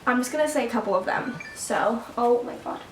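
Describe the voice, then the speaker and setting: A woman's voice: fairly high pitch, a little fast, far from the mic, a little hiss or hum. A seventeen-year-old girl chatting casually to her viewers at home, rambling with lots of fillers and little laughs. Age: teens. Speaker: vlogger. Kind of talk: casual sit-down chat to camera. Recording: echoey room, mic set back.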